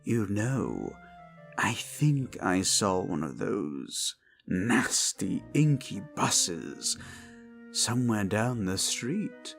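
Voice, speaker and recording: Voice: low-pitched, sing-song, extremely slow, faint music behind, heavily acted. A performer voicing a sadistic, mocking incubus who calls himself nightmare incarnate, in a theatrical, sinister ASMR roleplay delivery. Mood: sly, sardonic. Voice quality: breathy whispering, creaky.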